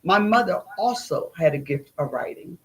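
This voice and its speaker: A middle-aged woman speaks as a guest in a relaxed interview. Her pitch sits in the middle, and she tells things in an emphatic, folksy way, leaning on her key phrases. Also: normal speed, rough recording. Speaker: playwright and director, interview guest. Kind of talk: animated conversational interview.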